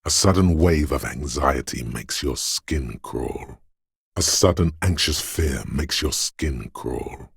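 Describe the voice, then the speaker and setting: The inner voice of primal fear and rage in a dramatic video game. It is extremely deep and very husky, gravelly at the edges. It narrates with slow, ominous, menacing deliberation. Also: male voice, no echo, clean studio sound, heavily acted. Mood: anxious dread.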